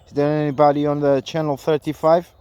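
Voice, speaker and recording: A man making halting, repetitive radio calls and casual commentary during an outdoor antenna test. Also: a little high, flat delivery, dark tone, clean tone. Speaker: amateur CB radio operator testing an antenna. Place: outdoors in a park.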